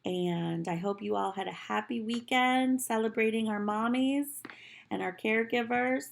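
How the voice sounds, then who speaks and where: A woman reading a picture book aloud to young children in a deliberate, animated teacher's manner, with little asides to them. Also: on the high side, gravelly.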